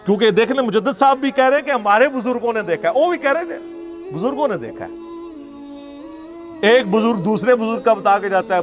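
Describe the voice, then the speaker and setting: A Pakistani man giving an informal religious lecture, arguing in a sarcastic, conversational way with rhetorical questions. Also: high-pitched. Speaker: Islamic preacher and lecturer. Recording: music playing behind the voice. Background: music.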